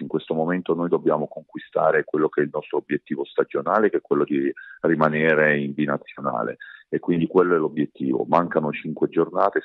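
A man giving measured, hesitant answers as a sports coach in a radio interview. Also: very deep voice, no echo, phone-quality sound. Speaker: basketball coach being interviewed.

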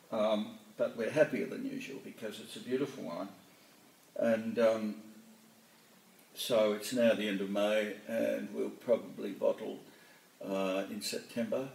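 Gravelly middle-aged winemaker in an echoey cellar. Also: male voice, lowish voice. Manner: relaxed, filler-heavy, hesitant. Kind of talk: casual explanation to camera. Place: barrel room of a winery, indoors. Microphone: camera mic from a few metres off. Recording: echoey cellar, distant camera mic.

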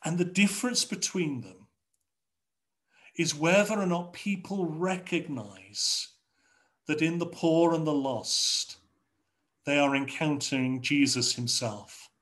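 A middle-aged man with an English accent preaching a sermon from a home study over a video call, in a measured, rhetorical way with repeated phrases. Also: a little high, lively intonation, fairly bright tone. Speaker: pastor. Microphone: video call webcam.

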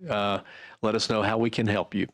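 Low-voiced man chairing a hearing. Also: level tone, moderate pace. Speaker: committee chairman. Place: large legislative committee room.

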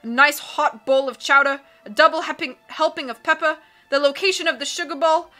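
A woman reading aloud and voicing a blustering male sea captain who booms and keeps saying "aye". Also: a little fast, bright, forceful tone, soft background music. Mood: boisterous. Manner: bellowing, peppers speech with "aye".

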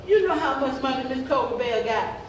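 Woman acting a high-pitched, rambling granny. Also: lively intonation, brisk, crowd noise. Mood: reminiscing. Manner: folksy, rambling, calls listeners baby. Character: old Southern former field-hand grandmother, folksy, scolding, rambling. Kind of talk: amateur gospel stage play monologue, storytelling. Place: church sanctuary stage, mid-sized hall. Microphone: camera some metres away. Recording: roomy church hall, distant camera mic, audience noise.

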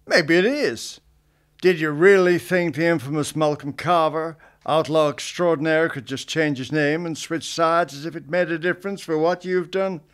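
A man's voice: fairly high pitch, moderate pace, a bit bright, close to an everyday voice. An actor playing Kurtz, a smug and menacing male official in a western radio drama. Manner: smug, controlling.

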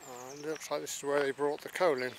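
A man's voice: fairly high pitch, little pitch movement, fairly quick, background noise. Chatty man narrating an outdoor walk. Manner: chatty, admiring, wry asides. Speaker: walking vlogger narrating. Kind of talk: casual walking commentary. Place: outdoors by a rural estuary and canal.

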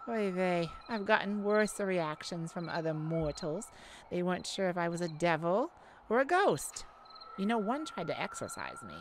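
A woman's voice: lowish voice, slight background noise. A woman playing a haughty yet kindly goddess of light in an ASMR audio roleplay, theatrical and teasing. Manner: theatrical, teasing, mock-offended, with pauses.

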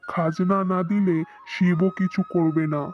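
A man's voice: high-pitched, little pitch movement, measured pace. Man playing scornful cartoon landlord, haughty, callous, dull sound, faint music.

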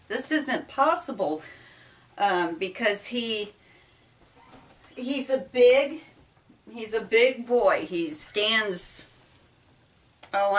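A middle-aged woman with a Midwestern American accent, chatting casually while she folds laundry, talking haltingly with many ums and restarts. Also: on the high side, a bit bright. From United States. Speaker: vlogger. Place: small indoor laundry room. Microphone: camera set apart from her. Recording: voice a bit far from mic.

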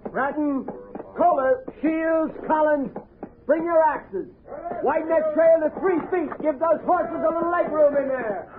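A commanding army sergeant in an old radio drama. He hollers orders in a very high-pitched voice. The recording is noisy and narrow. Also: unhurried. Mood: loud, commanding. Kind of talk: 1960s radio drama acting.

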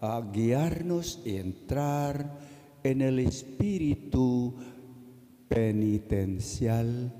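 Slow, deep-toned Filipino priest in a big church. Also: male voice, lively intonation, warm tone. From Philippines. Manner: slow, deliberate, careful delivery with pauses. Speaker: priest giving a Lenten mission talk. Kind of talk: sermon. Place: large church, at the pulpit. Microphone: pulpit microphone. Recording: long reverb of a large church.

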